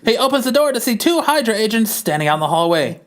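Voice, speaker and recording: A man putting on an excitable old-timey narrator, reading a comic book aloud in a theatrical, emphatic way. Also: sits high, fast, pitched above the performer's own voice. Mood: dramatic, excited.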